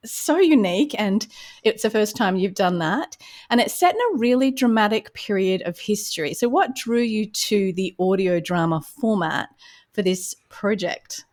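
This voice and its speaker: A woman's voice: mid-range voice, lively intonation, moderate pace, a little mellow. A woman speaking as a podcast host and interviewer. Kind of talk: scripted introduction, then conversational interview.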